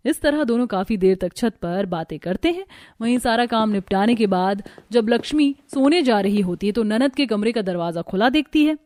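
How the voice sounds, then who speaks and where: A woman's voice: a little high, lively intonation, normal speed, darkish tone, no room sound. A woman narrating a scripted cartoon family story.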